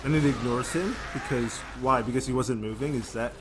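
A man's voice: medium pitch, a little fast, noisy background. Young man, rambling casual reaction commentary. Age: 20s. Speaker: anime reaction video host. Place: small indoor room at home.

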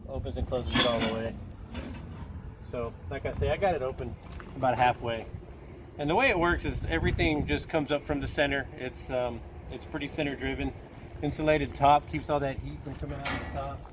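A man's voice: mid-range voice, steady tone, a little fast. A middle-aged man giving a casual, plain-spoken product walkthrough outdoors, picked up by a camera mic a few feet away. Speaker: custom cooker builder presenting his build. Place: outdoors in a gravel yard. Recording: clear outdoor background noise, vehicle ambience.

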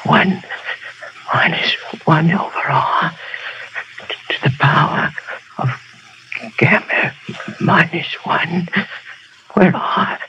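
An actor playing a dying stage memory man, dutiful and weak, speaking in a breathy whisper on an old radio play recording. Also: a little high, very slow, pauses often, slight background noise. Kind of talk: 1930s radio drama acting. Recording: dull old radio broadcast.

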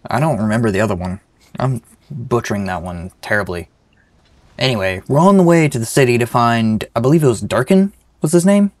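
A man's voice: medium pitch, animated, brisk, no room sound. A young man with a sick-sounding voice giving rambling, joking let's-play commentary. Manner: rambling, joking, self-deprecating. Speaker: gaming channel host.

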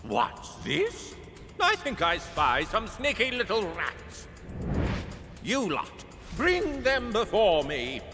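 An actor playing a sneering, pompous military general villain in a video game, theatrical and gloating. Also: male voice, high-pitched, huge pitch swings, takes it slowly, bright, forceful tone, exaggerated voice. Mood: sly, commanding. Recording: long reverb, music behind.

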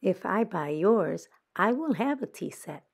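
A woman's voice: medium pitch, very animated, a little slow, vocal fry, darkish tone, breathy edge, full character voice. A woman voicing an earnest, trusting young girl badger in a picture book, speaking plainly. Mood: eager. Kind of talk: children's picture book read-aloud.

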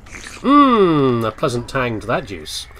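British man, animated game commentary. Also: mid-range voice, takes it slowly, slight background noise. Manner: chatty, playful, muttering asides to himself. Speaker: gamer doing a let's play. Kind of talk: casual game commentary, thinking aloud. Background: video game ambience.

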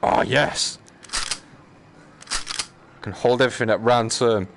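A man's voice: medium pitch, steady tone, takes it slowly. A man chatting casually on a live game stream, joking in a slangy, sweary way. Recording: clear background noise.

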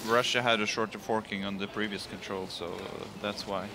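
A man gives short expert remarks beside the main commentator in a low, flat voice that barely rises or falls. Clear background noise runs under the live feed. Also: fairly quick. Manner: brief expert remarks, hesitant. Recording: noisy live broadcast feed.

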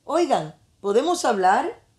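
Woman voicing a downhearted little bulldog, slow-paced. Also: fairly high pitch, very animated, a little mellow, pitched above the performer's own voice. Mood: curious. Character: young female bulldog, insecure, curious and sorrowful.

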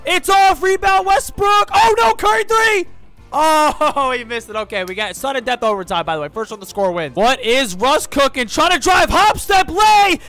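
A young man with a hoarse, raspy voice hosting a gaming channel, giving excitable play-by-play commentary at a studio mic and shouting at the big plays. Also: very high-pitched, normal speed, faint music behind. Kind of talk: energetic play-by-play game commentary. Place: home streaming room with green screen. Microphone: large studio mic on boom, headphones.

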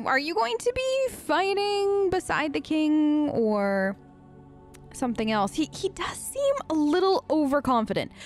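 A woman hosting a gaming video, improvising casual role-play in a chatty, rambling, playful and informal way. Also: high voice. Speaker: gaming video host, role-playing her character.

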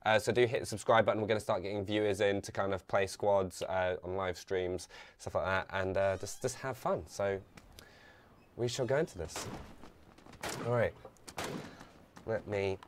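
A young man talks his way through a live game stream on a headset mic, chatty and quick to get excited. His voice sits at a middle pitch, with a faint hum of background ambience behind it. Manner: chatty, reads chat aloud, bursts of excitement.

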